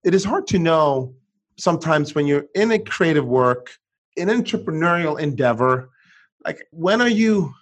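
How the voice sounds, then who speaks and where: Middle-aged guy from sweden, a bit high voice, lively storytelling, lots of restarts. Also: normal speed. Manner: animated storytelling, frequent restarts. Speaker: author and entrepreneur guest. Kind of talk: conversational interview.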